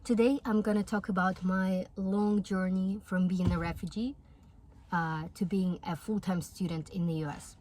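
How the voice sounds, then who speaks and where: A woman's voice: mid-range voice, steady tone, normal speed, a little mellow, slight background noise. A woman in her twenties from Russia with a Russian accent and Russian as her first language. She speaks earnestly and encouragingly in a casual talk to the camera. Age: 20s. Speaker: vlogger.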